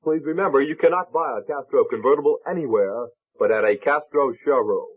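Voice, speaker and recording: A man's voice: mid-range voice, a little fast, husky. A man working as a local radio announcer, reading a scripted mid-century commercial. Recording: narrow muffled old radio broadcast.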